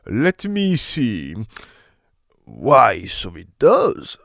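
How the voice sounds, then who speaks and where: Male actor as opera maestro, fussy, exasperated, commanding, very animated, period comedy audio drama. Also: medium pitch, drawn-out words, gravelly, no room sound, studio quality, heavily acted. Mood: fussy, measured.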